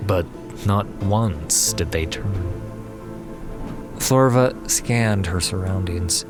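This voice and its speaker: A man narrating a fantasy audiobook in a dramatic, measured and solemn scripted style. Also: low voice. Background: music.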